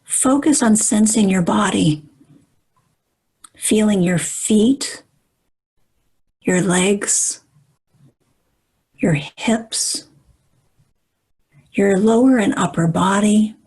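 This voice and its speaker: A woman guiding a meditation in a soft, breathy voice, calm and soothing, with measured phrases and pauses. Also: medium pitch. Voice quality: soft, breathy, at times near whisper. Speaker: meditation guide. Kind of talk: guided meditation.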